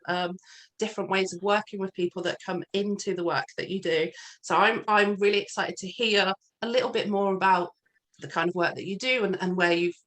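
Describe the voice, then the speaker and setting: Female podcast host, mid-pitched, encouraging, loose and chatty phrasing. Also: a bit bright, low-quality recording. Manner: encouraging, with many fillers and restarts. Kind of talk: interview hosting.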